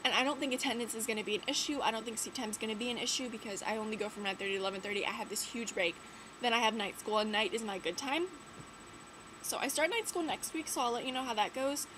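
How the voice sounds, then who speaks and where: A woman's voice: a little high, quick pace. A teenage girl, chatting casually in a vlog, rambling with lots of "like" and "um", her nose a bit stuffy from a cold. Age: late teens. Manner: rambling, many "like" and "um" fillers. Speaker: vlogger. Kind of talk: casual chat to camera. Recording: audible background noise.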